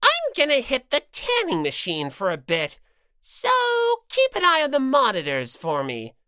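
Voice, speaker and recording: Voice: mid-range voice, huge pitch swings, normal speed, bright, forceful tone, clear, resonant tone, no echo, studio quality, voice pushed up. An audiobook reader voicing a gleefully cruel, vain, bossy bear mascot villain with mocking, theatrical delivery. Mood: vain, self-satisfied. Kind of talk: character voice in fan audiobook.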